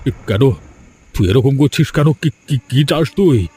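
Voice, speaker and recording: A man's voice: medium pitch, lively intonation, measured pace, warm tone, slight background noise, lightly acted. A man voicing a proud, domineering village landlord and father in a cartoon, blustering and repeating himself. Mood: terrified.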